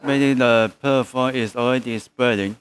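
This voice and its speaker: A man livestreaming a game with casual commentary, muttering in halting, fragmented phrases. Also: medium pitch, fairly even intonation, soft background music. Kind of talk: casual live gameplay commentary.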